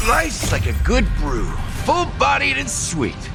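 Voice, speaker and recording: A man's voice: extremely high pitch, wildly swooping pitch, takes it slowly, vocal fry, over music, heavily acted, low-quality recording. A man voicing a cocky, laid-back fighter in a video game, with a drawling, theatrical manner. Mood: cocky relish.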